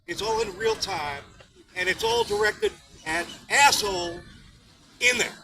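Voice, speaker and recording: A man's voice: high voice, huge pitch swings, measured pace, creaky, over music, poor audio. A man giving a fired-up, profane speech at an outdoor protest. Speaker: protest organizer. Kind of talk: rally speech.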